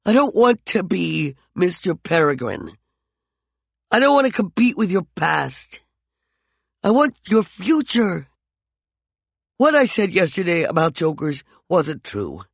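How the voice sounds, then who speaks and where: A jealous, volatile man who mocks, rages and then pleads with heat, as a male narrator plays him. The voice is lively and gravelly, pitched a little high, and recorded dry and close. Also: measured pace, pauses often, dry recording, exaggerated voice. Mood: pleading. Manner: heated, mocking and intense.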